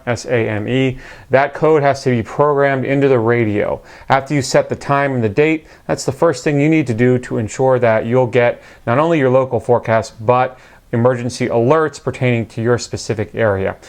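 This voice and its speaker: A man narrating a product review in a casual, unscripted way, explaining things in a conversational, matter-of-fact manner. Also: mid-range voice.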